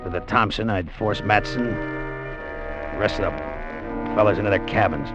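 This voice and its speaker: An actor playing a scheming sailor gone treasure-mad, in a tense, hard-boiled confessional old-time radio style. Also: male voice, fairly low pitch, normal speed, natural voice. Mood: cold, calculating. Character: Danny, scheming treasure-mad sailor turned gunman, confessing his crime. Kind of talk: old-time radio drama acting with first-person narration. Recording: vintage radio broadcast with music.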